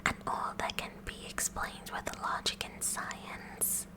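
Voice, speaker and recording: Casting: a woman to play an oddball, eager schoolgirl science president, fully whispered, unhurried and officious, for an ASMR roleplay. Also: natural voice. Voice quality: breathy, unvoiced whisper. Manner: slow, deliberate, mock-official with awkward stammers. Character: kooky, eager teenage girl science club president.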